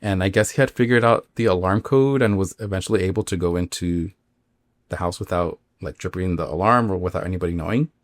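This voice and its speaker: A man with a fairly low voice tells a story in a casual, conversational way. His delivery is informal and halting, padded with filler words. The sound is very dry, with no room around it. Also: no room sound. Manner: informal, halting, filler-heavy with acted-out quotes. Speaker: podcast host.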